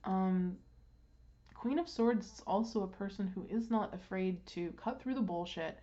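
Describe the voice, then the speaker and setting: Woman tarot reader, stuffed up and throat-clearing, meandering delivery with lots of ums, slightly dull recording. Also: mid-range voice, mellow. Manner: unhurried, with frequent ums and restarts. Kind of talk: casual card reading.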